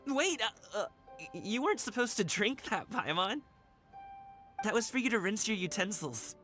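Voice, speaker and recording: A man's voice: high-pitched, big pitch swings, moderate pace, sharp tone, exaggerated voice. An actor playing a friendly, earnest young man, a dancer in a video game, talking casually. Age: young adult. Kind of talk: English video game voice acting. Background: music.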